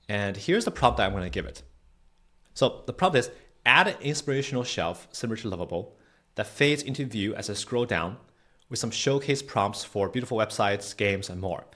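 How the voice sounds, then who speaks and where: A man presenting a software tutorial in a casual, conversational, explanatory way, often checking in with the listener. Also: on the low side, expressive, moderate pace. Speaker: tutorial host.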